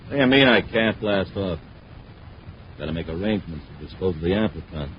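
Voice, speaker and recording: An actor playing a boastful, tough, scheming space crook in a 1950s radio adventure. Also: male voice, deep voice, animated, a little fast. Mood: defiant. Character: cocky, scheming male space crook boss. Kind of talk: 1950s radio villain acting. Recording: dull, faintly noisy 1950s radio recording.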